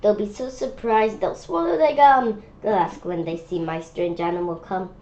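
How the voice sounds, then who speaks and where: A woman reading a children's picture book aloud in a halting way, breaking off for spontaneous asides and questions. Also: medium pitch, a little mellow. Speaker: read-aloud presenter. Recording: dull lo-fi sound with faint noise.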